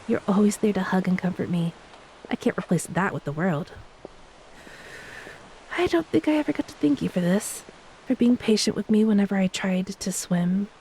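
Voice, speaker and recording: A woman playing a gentle, playful ex-mermaid wife in a romantic audio roleplay, speaking tenderly and teasingly to a beloved spouse. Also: mid-range voice, close to an everyday voice, rough recording. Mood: thoughtful, chatty, then tender, grateful. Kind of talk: romantic audio roleplay, intimate scripted acting. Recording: steady hiss behind the voice.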